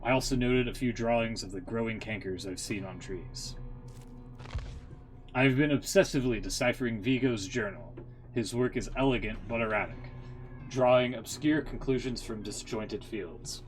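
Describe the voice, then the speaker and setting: A haunted scholar, played by a man reading aloud, recounts a grim horror-game journal. The delivery is measured and solemn at an average male pitch, with music underneath. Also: lightly acted, poor audio. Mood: studious, ominous. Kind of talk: dramatic scripted narration.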